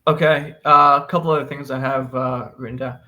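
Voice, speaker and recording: A man's voice: medium pitch, steady tone, fairly quick, bright, forceful tone. A man co-hosting a podcast, casually discussing a book in an opinionated way and posing questions to debate.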